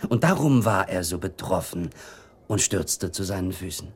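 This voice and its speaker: An actor playing a confident, reasoning gentleman with formal, measured speech in a German radio drama. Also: low-pitched, lively intonation, slight background noise.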